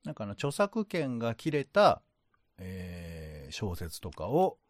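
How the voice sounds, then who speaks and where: A man's voice: fairly low pitch, huge pitch swings, slow, no room sound, studio quality. A man chatting casually as the co-host of a show. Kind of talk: casual chat.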